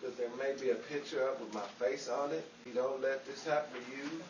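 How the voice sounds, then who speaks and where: A man's voice: medium pitch, fairly even intonation, a little fast, highs cut off, lightly acted. Man acting nightclub pianist for radio drama, flirtatious and world-weary, roomy sound, heavy noise. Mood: wry. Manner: terse, suggestive banter. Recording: roomy, distant and noisy.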